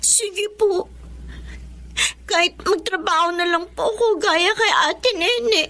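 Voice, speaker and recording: A woman's voice: very high-pitched, takes it slowly, bright, forceful tone, exaggerated voice. A woman with a Filipino accent playing a meek, polite, desperate sixteen-year-old waitress in a radio drama. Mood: pleading.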